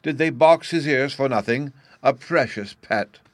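A man voicing a haughty, shrill noblewoman who speaks imperiously. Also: measured pace, no room sound, natural voice. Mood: doting.

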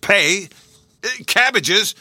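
Casting: man voicing a sputtering, self-important gentleman, mid-pitched. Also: sing-song, a little slow, no echo. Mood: outraged.

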